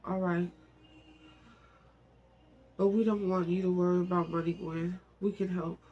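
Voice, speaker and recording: A man's voice: high voice, flat delivery, a little slow, slightly rough, highs cut off, exaggerated voice. A man reading the part of a caring, insistent female relative in a novel, earnest and coaxing.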